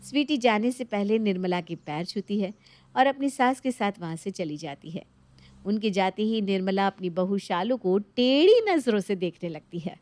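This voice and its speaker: A woman's voice: medium pitch, animated, normal speed, darkish tone, no room sound. A woman narrator reads a scripted moral story. The recording is dry and close, with no room sound.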